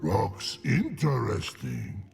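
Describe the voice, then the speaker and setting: A man voicing a slow-witted, gentle troll in a video game, talking in halting, broken grammar. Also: on the low side, huge pitch swings, vocal fry, over music, full character voice. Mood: pondering. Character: slow-witted, gentle male troll who guards a vault. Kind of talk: video game character acting.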